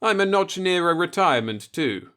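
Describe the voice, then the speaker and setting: A man's voice: high voice, animated, moderate pace, bright, forceful tone, pitched above the performer's own voice. A man voicing an easygoing, self-assured veteran first officer of a spaceship in a sci-fi audiobook, speaking casually and expansively. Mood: easygoing, advising.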